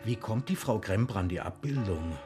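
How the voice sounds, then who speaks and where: An actor playing a polite, pedantic antiquarian with a formal way of speaking in a radio play. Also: male voice, lowish voice, expressive, normal speed, music in the background, lightly acted. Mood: obliging. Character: pedantic, polite antiquarian, dealer in old books.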